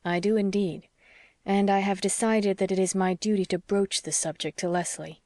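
A woman voicing an earnest, stubborn young male doctor who reasons in a measured, formal way, as in an audiobook. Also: mid-range voice, fairly even intonation, mellow, no echo, clean studio sound, lightly acted. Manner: measured, formal, slightly stiff. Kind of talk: audiobook character dialogue.

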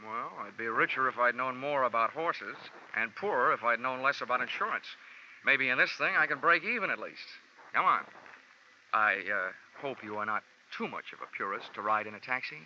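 A man's voice: mid-range voice, normal speed, bright, forceful tone, slight background noise. An actor playing a wry, laconic male insurance investigator narrating and acting in a 1940s radio detective drama. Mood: dry, guarded. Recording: dull old 1940s radio recording, music behind.

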